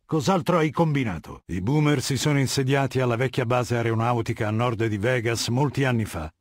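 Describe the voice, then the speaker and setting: An actor voicing a cold, haughty middle-aged warlord dictator in a video game, lecturing in a measured, commanding and disdainful way. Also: male voice, mid-range voice, no room sound, clean studio sound, natural voice. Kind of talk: video game character dialogue.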